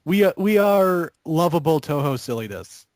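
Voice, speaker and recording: A man's voice: on the high side, animated, moderate pace, darkish tone, slight background noise. A man chatting casually in a group, chiming in agreeably.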